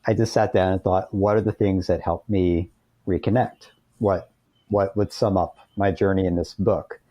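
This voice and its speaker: Male author for interview segment: low, soft dark tone, dry close sound, informal and self-deprecating. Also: steady tone, normal speed, dry recording, muffled recording. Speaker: author, podcast guest. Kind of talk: conversational interview answers.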